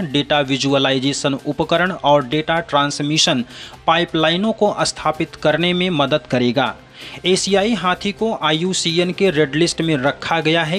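A man presenting a scripted news bulletin in a formal, measured way. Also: fairly high pitch, a bit bright. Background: background music.

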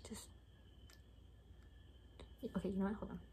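A young woman doing an improvised ASMR roleplay in a soft, often whispered voice, rambling and repeating words in a hushed, unhurried way. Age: young adult. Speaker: ASMR creator playing a caretaker. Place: small bedroom, indoors.

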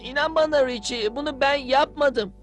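An adult as a high-pitched, whiny cartoon prankster boy. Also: moderate pace, natural voice. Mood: placating. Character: mischievous boy prankster, sneering, cowardly, self-serving. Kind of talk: cartoon dub acting. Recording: music behind the voice.

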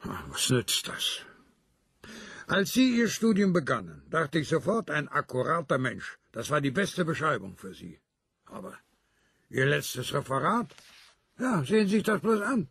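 An actor in a radio drama playing a pedantic, stern professor with a pompous, reproving manner. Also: male voice, a little high, sing-song, measured pace, creaky, fairly bright tone, husky, dry recording, clean studio sound, full character voice. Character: fussy, pedantic, stern male university professor. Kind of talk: German radio drama acting.

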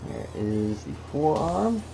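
A man's voice: a little high, takes it slowly, creaky. A man casually walking through a model kit build at his workbench, talking to the parts as he goes. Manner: relaxed, talks to the parts as he works. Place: indoor workbench. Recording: music bed under voice. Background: background music.

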